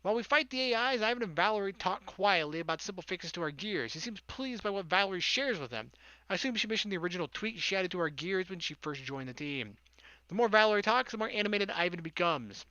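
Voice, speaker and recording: A woman reading a visual novel aloud as a gaming host, adding casual, deadpan quips. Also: medium pitch, no echo, dull audio. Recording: loud game music underneath.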